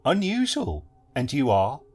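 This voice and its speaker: A man doing a flirty high-voiced receptionist. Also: wildly swooping pitch, unhurried, faint music behind, heavily acted. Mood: coy. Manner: high, breathy, exaggerated interest.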